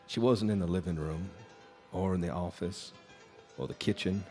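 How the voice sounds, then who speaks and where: A man acts out a haunted bluesman in a live radio play, drinking in a bar. His voice is low and weary, and he tells his tale haltingly and reluctantly over music. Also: darkish tone, close to an everyday voice. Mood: haunted, grave. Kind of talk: live radio drama acting. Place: live stage performance of a radio drama.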